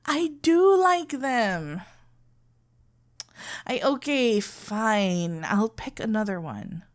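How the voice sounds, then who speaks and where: A woman playing a shy, tender florist best friend in love, talking haltingly and awkwardly in an intimate ASMR roleplay. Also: on the high side, animated. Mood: playful. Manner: halting, stammering, awkwardly earnest. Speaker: audio roleplay performer.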